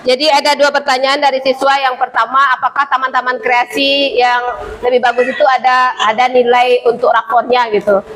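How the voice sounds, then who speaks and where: A woman teacher with a high voice briefs colleagues and students in a loose, chatty way. She talks quickly and keeps tacking a polite address onto nearly every phrase. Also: level tone, a bit bright, rough recording. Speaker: teacher presenting a school program.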